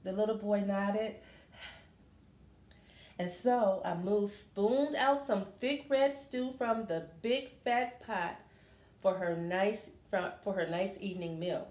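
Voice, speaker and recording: A woman reading a children's story aloud expressively, pausing to put questions to her listeners. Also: mid-range voice, steady tone, darkish tone. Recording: roomy, echoey sound.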